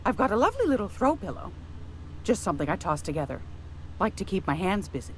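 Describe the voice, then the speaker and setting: A woman's voice: medium pitch, big pitch swings, fast, background noise, natural voice. An actress playing a folksy, sly older woman in a video game, chatty and homely. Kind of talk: video game character dialogue.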